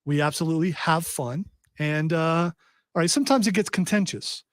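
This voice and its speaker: A man's voice: a little high, normal speed, no echo. A man hosting a live pop-culture talk show, chatty and joking, with loose rambling asides, talking into a studio mic. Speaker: podcast host. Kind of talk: casual live show chat.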